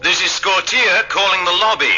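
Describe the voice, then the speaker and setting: An actor playing an irritable, sardonic starship crew member in a radio drama. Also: male voice, high-pitched, moderate pace, pushed and bright, breathy edge, faint music behind, exaggerated voice, low-quality recording. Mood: irritable reporting. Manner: sardonic, impatient.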